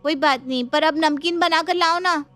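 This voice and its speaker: A woman's voice: sits high, normal speed, a bit bright, soft background music, pitched above the performer's own voice. A woman voicing a whiny, fussy young sister-in-law in a story, exclaiming and complaining. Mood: annoyed, complaining.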